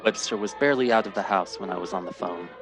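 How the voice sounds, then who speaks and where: A man's voice: deep voice, fast, over loud music, natural voice. An actor playing a worried, impatient American man who searches for his missing brother and narrates it in radio drama style, earnest and clipped. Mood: weary narration. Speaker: lead actor and first-person narrator.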